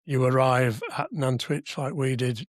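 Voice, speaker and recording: A man in his seventies from Wales with a slightly husky voice, chatting through memories in an anecdotal way with dry asides. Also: mid-range voice, fairly even intonation, measured pace, dry recording. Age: 70s. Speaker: podcast guest, civic society member. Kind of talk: conversational reminiscence. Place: podcast studio.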